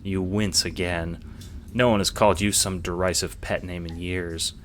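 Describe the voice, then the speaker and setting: A man narrates an audiobook-style drama in a deep, low voice. His delivery is scripted storytelling addressed to the listener, over faint noise and ambience. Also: fairly even intonation, normal speed.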